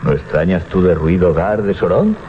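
Un actor de radioteatro de terror interpreta a un capitán de barco codicioso, burlón y teatral. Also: male voice, low voice, animated, a little fast. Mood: weary. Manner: theatrical, talks aloud to himself. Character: gruff mocking sea captain, greedy, sliding into terror. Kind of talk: radio horror drama acting. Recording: dull 1980s radio recording.

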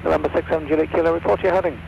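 A man's voice: on the high side, steady tone, very fast, creaky. A man speaking as an air traffic controller in clipped phraseology over aircraft radio. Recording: dull, noisy aircraft radio reception.

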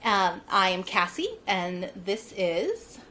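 A woman's voice: medium pitch, lively intonation, slow pace, bright, forceful tone, a little hiss or hum. A woman in her thirties hosting a casual podcast chat from home over a video call, upbeat and chatty, laughing often. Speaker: podcast host. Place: home room with bookshelves, indoors. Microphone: earbuds on a video call.

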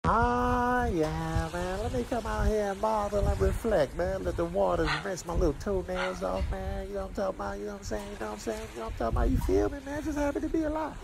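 A man voicing a laid-back, philosophical heron, gravelly and rambling with repeated slangy tags. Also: sits high, fast talker, hardly any pauses. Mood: reflective, content. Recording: clearly noisy background.

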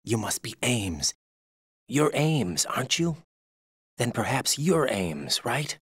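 Casting: young rookie agent for a game, medium pitch, measured pace, earnest and questioning. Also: male voice, sing-song, breathy edge, dry recording, studio quality, full character voice. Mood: probing. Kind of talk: video game voice acting.

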